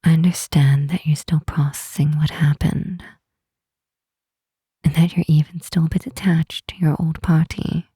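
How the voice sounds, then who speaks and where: A woman voicing a powerful, protective demon queen in a soft, breathy half-whisper, speaking slowly and tenderly in an intimate ASMR roleplay. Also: deep voice, steady tone, no echo, clean studio sound, exaggerated voice. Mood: protective, reassuring. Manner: slow, tender, solemn, with deliberate pauses.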